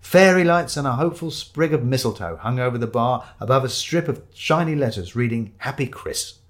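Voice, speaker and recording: A man's voice: mid-range voice, animated, a little fast. A man narrating a comic first-person story in a wry, dry storytelling manner. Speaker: audiobook narrator.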